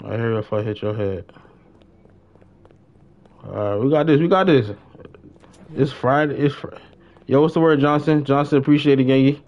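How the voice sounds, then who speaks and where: A young man giving casual live gaming commentary, slangy, repeating short phrases excitedly. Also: mid-range voice, moderate pace, pauses often, dull audio. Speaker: game streamer.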